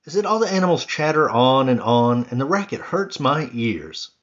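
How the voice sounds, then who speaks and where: A man's voice: medium pitch, very animated, moderate pace, highs cut off, pitched above the performer's own voice, lightly acted. A man voicing a cranky male rabbit who keeps grumbling and complaining, in a storybook reading. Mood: grumpy.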